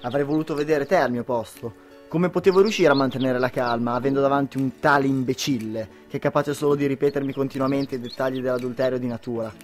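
A man's voice: mid-range voice, fairly even intonation, quick pace, hardly any pauses, faint music behind, lightly acted. Young man acting a theatrical, hot-headed husband. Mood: indignant, bitter. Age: young adult. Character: hot-headed, boastful, lovestruck husband, Difo. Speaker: student actor. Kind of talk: amateur radio drama acting.

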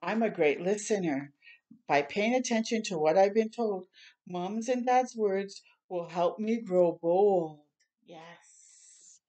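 A woman's voice: medium pitch, slow, warm tone. An older woman doing a children's storytime, reading a picture book aloud and adding encouraging, chatty asides. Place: small room at home, indoors.

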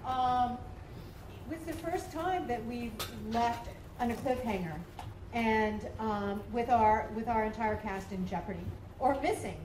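A woman answering on a convention panel, loud and chatty with a handheld mic. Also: a little high, unhurried. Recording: echoey tent with faint noise.

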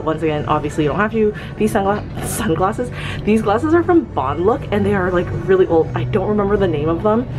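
A young woman in her twenties chatting casually through a clothing try-on vlog, rambling with fillers as she films on a handheld camera in a shop. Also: mid-range voice. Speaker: fashion vlogger. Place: clothing store, indoors.

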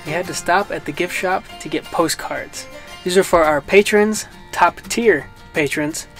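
A man's voice: sits high, unhurried, over music. A young man chatting easily as a travel vlogger, filming himself with a handheld camera on a mountain trail and in a camper van. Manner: easygoing, chatty, self-mocking. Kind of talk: casual travel vlog narration. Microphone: handheld camera at arm's length.

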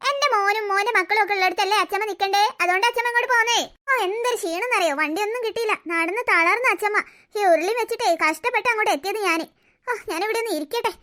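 A sly old grandmother sighs and mutters complaints under her breath in a home skit, as a woman puts her on. Her voice stays high, bright and quick, and she sweet-talks the children while plotting against their mother. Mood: sweet-talking. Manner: sighing, complaining, muttering to herself. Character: grumbling scheming old grandmother. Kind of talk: one-person family skit.

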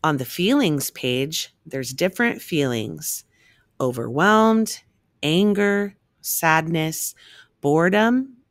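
A woman reading a rhyming children's picture book aloud in an expressive, measured storytelling manner. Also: deep voice, no echo, clean studio sound.

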